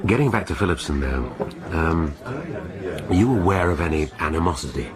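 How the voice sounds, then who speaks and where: A deep-voiced brusque police inspector. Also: male voice, lively intonation, fairly quick, over a noisy crowd. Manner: brusque, probing, sardonic.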